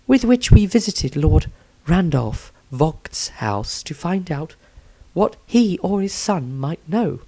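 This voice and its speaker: Man voicing an earnest healer woman, raised pitch. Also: warm tone. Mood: matter-of-fact. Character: earnest, compassionate healer woman keeping a diary. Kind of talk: storybook fantasy diary narration.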